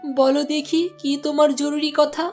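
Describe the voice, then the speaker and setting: Lady playing cartoon village housewife, high voice, naggy and insistent, music underneath. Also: flat delivery, normal speed, darkish tone. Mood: calm. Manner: complaining, insistent. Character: strong-willed, nagging village housewife with a soft heart. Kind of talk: cartoon voice acting.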